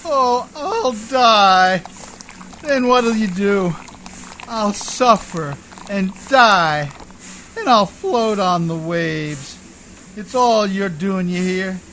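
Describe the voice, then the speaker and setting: A man acting a rough, hard-drinking riverboat man who whines and pleads in folksy, uneducated speech in a radio play. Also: sits high, animated, drawn-out words, a bit bright, a little hiss or hum, exaggerated voice. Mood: wailing.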